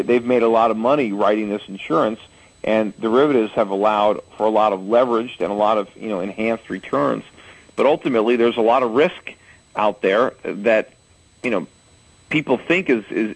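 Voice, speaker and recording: A man's voice: fairly low pitch, slightly rough, rough recording. A man in his forties hosting a call-in radio show, answering callers at length with homely analogies and frequent restarts. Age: 40s.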